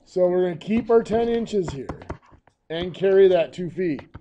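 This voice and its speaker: Hoarse male teacher explaining math. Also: high voice, animated, a little slow, dull audio, noisy background. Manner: patient step-by-step explaining. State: hoarse, coughing. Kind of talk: screencast math lesson.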